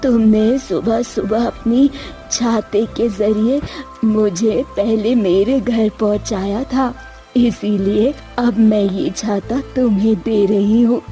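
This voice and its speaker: A woman voicing a kind old woman in a children's fairy tale, with gentle, halting, deliberate delivery. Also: mid-range voice, steady tone, soft background music, close to an everyday voice. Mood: kindly, grateful. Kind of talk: Hindi children's story voice acting.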